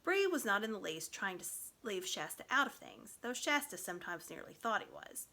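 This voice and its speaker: Bright, expressive woman reading a story. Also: mid-range voice, moderate pace. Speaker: audiobook reader.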